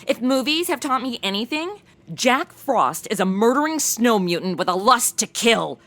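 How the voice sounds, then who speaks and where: A young woman playing a snarky, excitable modern gal in a radio comedy, gushing and sassy. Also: fairly high pitch, moderate pace. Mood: indignant. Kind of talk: comic narration and banter in modern slang.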